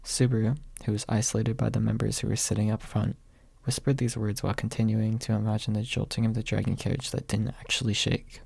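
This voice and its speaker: A young man with a soft, husky voice, reading quietly in an ASMR audiobook style, close to the microphone. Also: lowish voice, flat delivery, fairly quick, hardly any pauses, no room sound. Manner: soft-spoken, hushed late at night. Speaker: amateur audiobook reader. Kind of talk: ASMR, quiet storybook narration. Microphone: close USB microphone without pop filter.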